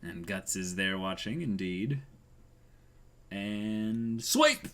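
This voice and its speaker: Animated, goofy man reacting to manga. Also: on the low side, drawn-out words. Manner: goofy, excitable, exclaims and acts out lines. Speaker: co-host of a manga read-along stream. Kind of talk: casual reaction commentary, reading manga aloud.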